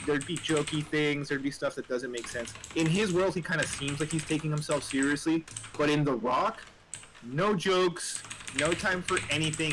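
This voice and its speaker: A man in casual, opinionated conversation, rambling in an animated way with frequent filler words and some swearing. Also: on the high side. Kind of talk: casual chat, opinionated film talk. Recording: noisy room with keyboard clatter.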